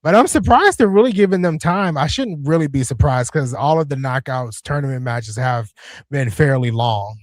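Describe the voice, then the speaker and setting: A man's voice: medium pitch, lively intonation, moderate pace, no echo. A man co-hosting a casual wrestling watch-along stream, chatty and opinionated, repeating himself between fillers. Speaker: co-host.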